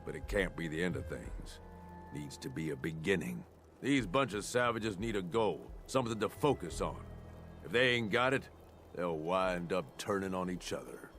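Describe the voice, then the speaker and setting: An actor playing a rough, scheming male raider lieutenant with a streetwise, persuasive way of talking. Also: sits low, expressive, normal speed, a bit bright, soft background music.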